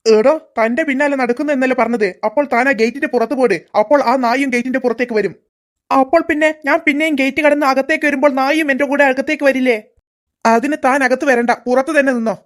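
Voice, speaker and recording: A stern, bossy male principal from a cartoon school, put on by a woman. He scolds in a gruff, dismissive way. The voice stays fairly high for a man. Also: fast talker, close to an everyday voice. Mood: scolding.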